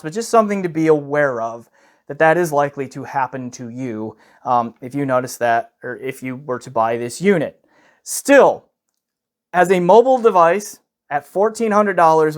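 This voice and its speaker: Higher-pitched man giving a casual gear review. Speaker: product reviewer.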